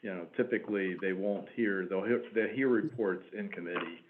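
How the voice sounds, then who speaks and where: A man gives a short, businesslike update to a town committee, with a few restarts. His voice is fairly low. The sound is thin and phone-like, with a faint hiss underneath. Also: moderate pace. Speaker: committee member. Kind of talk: meeting discussion. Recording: tinny line with faint hiss.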